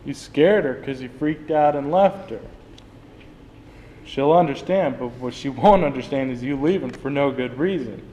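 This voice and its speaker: A man acting in a play reading as a steady, plain-spoken friend who coaxes and reassures, recorded in a noisy room. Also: mid-range voice, moderate pace, in a large hall, highs cut off, lightly acted. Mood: firm, urging. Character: Frank, steady, reassuring male friend, no longer young. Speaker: drama student actor. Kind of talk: dramatic reading of a stage play.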